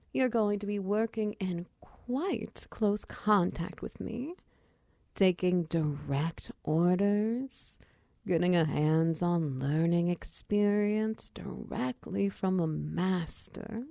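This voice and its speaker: A woman's voice: fairly low pitch, no room sound, studio quality. A woman playing a confident, flirtatious female minotaur wizard who runs a potion shop, speaking to the listener in intimate, teasing roleplay ASMR. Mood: flirty, teasing. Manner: teasing, coy, softly breathy in places.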